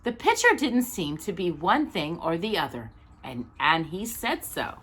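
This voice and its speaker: A woman's voice: fairly low pitch, big pitch swings, measured pace, fairly bright tone, slightly rough. A woman storytime host narrating a children's book expressively, with dramatic, emphatic phrasing. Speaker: storytime host reading aloud.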